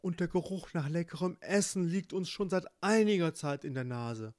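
A man voicing a hungry, freezing, gentle male bear in a spoken fairy tale, polite and pleading. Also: fairly high pitch, normal speed, dry recording, studio quality, pitched above the performer's own voice, close to an everyday voice.

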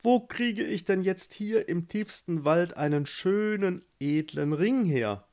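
A man's voice: high-pitched, slow, voice pushed up, heavily acted. Man playing a whiny unlucky young prince. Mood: complaining. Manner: complaining, excitable.

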